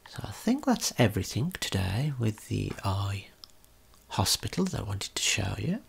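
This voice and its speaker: A man giving a gentle, unhurried ASMR tour of a video game in a breathy, whispered, soft-spoken voice. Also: on the low side, very animated. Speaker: ASMR creator and tour guide.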